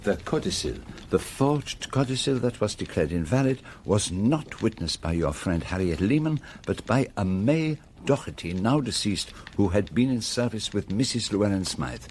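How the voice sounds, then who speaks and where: An actor playing a shrewd, courteous older detective in a radio drama, with a French-speaking Belgian accent and formal, precise, deliberate phrasing. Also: male voice, fairly low pitch, lively intonation, darkish tone, a little hiss or hum, natural voice. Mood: thoughtful.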